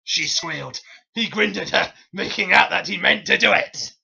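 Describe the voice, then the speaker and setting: A narrator with a creaky, raspy voice giving a comic, theatrical dramatic reading of fan fiction. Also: mid-range voice, normal speed, bright, forceful tone, muffled recording.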